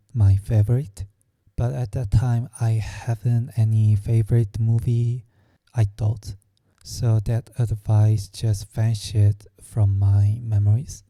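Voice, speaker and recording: A young man from Korea with a Korean accent, telling his own story slowly and hesitantly, searching for words. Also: lowish voice, warm tone, no room sound. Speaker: vlogger. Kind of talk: personal storytelling.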